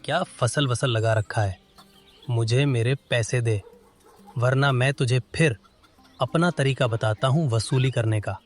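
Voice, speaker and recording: A man's voice: mid-range voice, a little slow, faint music behind, natural voice. Man acting a brusque, bullying village landlord. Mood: threatening. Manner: brusque, demanding, threatening. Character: bullying, greedy village landlord demanding his dues. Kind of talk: Hindi animated moral story dubbing.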